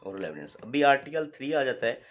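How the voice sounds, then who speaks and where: Pakistani guy teaching like a lecture, asks then answers his own questions, normal pitch, kinda muffled lo-fi audio. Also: very animated, fairly quick. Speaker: law lecturer. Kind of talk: explanatory lecture, mixing Urdu with English legal terms. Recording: dull, lo-fi sound.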